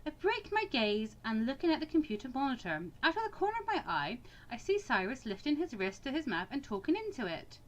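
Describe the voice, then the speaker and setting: A woman voicing an anxious, wryly sarcastic bookish teenage girl in an expressive, introspective visual novel reading. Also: sits high, fairly quick, few pauses, highs cut off. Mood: uneasy.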